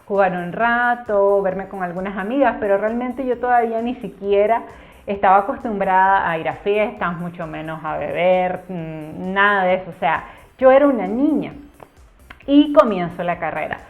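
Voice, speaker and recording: A young woman from Cuba shares a personal story as a YouTuber in an earnest, explanatory way, leaning on words for emphasis. Her voice comes through narrow and tinny, as if over a phone line. Faint music sits underneath. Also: medium pitch. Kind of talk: casual storytime vlog.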